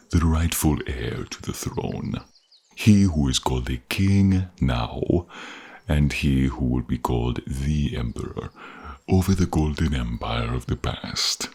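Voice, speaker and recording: A sinister royal inquisitor for a fantasy audio drama. The voice is extremely deep and husky, and it takes its time over every grandiose phrase. He gloats theatrically and fawns before his king. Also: a little mellow, exaggerated voice. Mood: pompous, obsequious. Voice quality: deep, gravelly, breathy. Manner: theatrical, savoring words, grandiose. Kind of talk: fantasy audio role-play acting.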